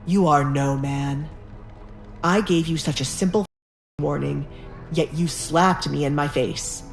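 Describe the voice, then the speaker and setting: A man's voice: on the high side, slow, gravelly. A man playing a vengeful, imperious duke in an audio drama, speaking in a commanding, mocking, formal way. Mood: cold anger. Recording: echoey voice over background music.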